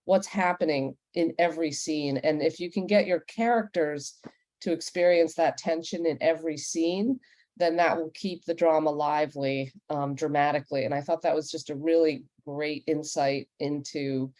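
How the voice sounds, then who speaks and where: Woman, deep pitch, dark mellow timbre, panel host. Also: steady tone, moderate pace, no room sound. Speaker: host and moderator. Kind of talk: panel discussion moderating.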